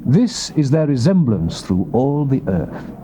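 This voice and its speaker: British guy doing a solemn angel proclaiming gods word, grave and commanding, big swings in pitch, hissy old bible tape. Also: big pitch swings, unhurried. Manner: grave, oracular, declamatory. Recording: noisy old cassette tape recording.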